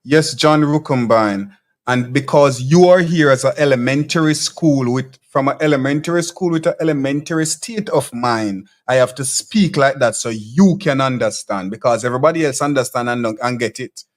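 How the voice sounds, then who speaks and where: A man's voice: medium pitch, normal speed. A Jamaican man hosting a live talk show, reading viewer comments aloud and answering them bluntly, often restarting his phrases. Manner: blunt, combative replies to commenters; loose, repeats and restarts phrases. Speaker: show host.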